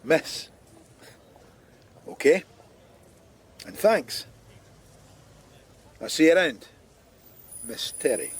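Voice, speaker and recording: Higher-voiced, terse Scottish detective. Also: male voice, sing-song, extremely slow, pauses often, husky, exaggerated voice. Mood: wry. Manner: terse, probing, sardonic. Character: blunt, dry male police detective. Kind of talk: radio drama acting.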